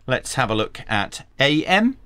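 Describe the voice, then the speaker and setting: Animated man giving a hands-on tech review. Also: medium pitch, unhurried, smooth, no room sound. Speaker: radio review channel host. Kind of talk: hands-on product review and tutorial narration. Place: small indoor home workshop.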